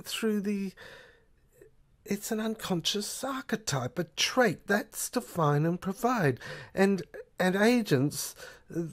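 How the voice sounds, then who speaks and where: A speaker of middling pitch performs in an amateur science fiction audio serial. The delivery is slow and halting, with stammers and repeated phrases as the character earnestly explains. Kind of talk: amateur audio drama dialogue.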